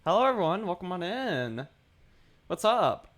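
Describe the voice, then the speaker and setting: A young man streaming while he draws, chatting casually with his viewers, his throat dry and sore and his voice a little deeper than usual. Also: big pitch swings, normal speed, a bit bright. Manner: rambling, chatty, greets chat, repeats himself. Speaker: art livestreamer. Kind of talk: casual livestream chat.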